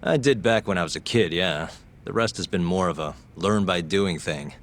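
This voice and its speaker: A man's voice: low-pitched, moderate pace, natural voice. An actor playing an easygoing, streetwise male private detective in an informal way for a video game dub. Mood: relaxed, casual.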